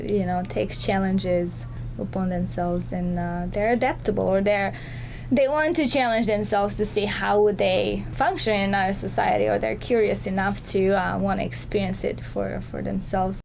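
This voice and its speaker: A woman with a gravelly, creaky voice rambles through her answer with many fillers. Faint noise is heard behind her. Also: mid-range voice, level tone, normal speed, a little mellow, rough recording.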